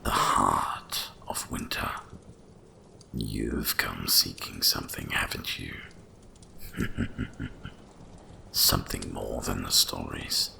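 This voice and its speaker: A man voicing an ancient, cursed winter dragon in a hushed ASMR fantasy monologue, with a gravelly, breathy rumble and slow, mysterious storytelling. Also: heavily acted. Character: ancient cursed male winter dragon, wise, once proud, brooding.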